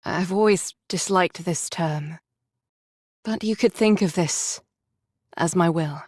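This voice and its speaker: An actress voicing a gentle, sorrowful young woman soldier in a video game, speaking tenderly and earnestly. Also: fairly low pitch, slow, no room sound, studio quality. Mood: solemn, tender. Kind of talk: dramatic game voice acting.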